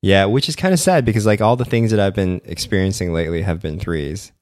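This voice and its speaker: A young man with a low voice chats loosely on a podcast, dropping fillers and slipping into mock quotes. The sound is very dry and close, with no room around it. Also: fast, a little mellow, no echo. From Philippines. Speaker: podcast co-host. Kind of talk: casual chat.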